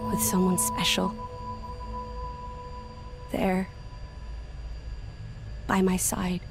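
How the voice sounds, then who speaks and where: A woman playing a gentle, reflective immortal young woman in a game cutscene, speaking haltingly. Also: fairly low pitch, monotone, gravelly, over music, exaggerated voice. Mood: wistful. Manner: soft-spoken, halting, reflective.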